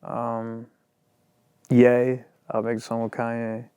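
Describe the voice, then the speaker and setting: A man's voice: medium pitch, monotone, takes it slowly, warm tone, clean tone, dry recording, clean studio sound. A young man, a rapper and singer, talking casually in an interview, rambling and filling his sentences with "like". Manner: loose and rambling, heavy on "like".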